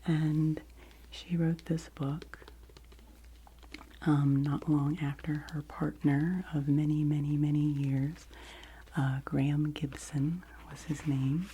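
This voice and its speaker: A woman in her forties, whispering gently and unhurriedly as she hosts a soft-spoken ASMR book review. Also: deep voice, little pitch movement, takes it slowly, slight background noise. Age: 40s. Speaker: ASMR host.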